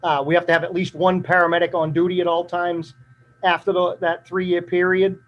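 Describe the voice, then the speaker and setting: A man presenting a fire department budget at a town meeting, matter-of-fact and steady, his voice drying up. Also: sits high, normal speed. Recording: dull lo-fi meeting recording.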